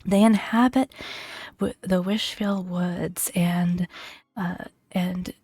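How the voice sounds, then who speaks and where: A woman doing soft-spoken ASMR game commentary in a breathy, gentle near-whisper, murmuring along without hurry. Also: on the low side, steady tone, takes it slowly, dry recording, soft background music. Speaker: video game content creator.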